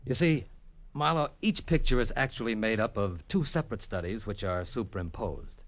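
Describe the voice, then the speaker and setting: A man's voice: on the low side, lively intonation, normal speed, close to an everyday voice. An actor playing a polite but touchy male artist, speaking with formal precision in a vintage radio drama. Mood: affable explaining. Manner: courteous, precise, somewhat formal. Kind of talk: 1940s radio acting. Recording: faintly noisy old radio recording.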